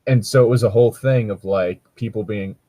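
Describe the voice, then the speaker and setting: A man with a low voice talks in a loose, conversational way, recorded close and dry. He rambles and hedges as he comments, swearing casually along the way. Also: brisk, dark tone, no echo. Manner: rambling, hedging, casually profane. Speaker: co-host reacting to read-out stories. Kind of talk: casual chat and commentary on a read story.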